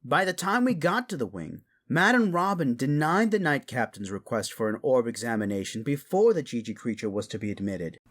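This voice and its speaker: An actor playing a courteous foreign lord who explains things in a fantasy audio drama. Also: male voice, medium pitch, very animated, a little fast, few pauses, a bit bright. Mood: reporting.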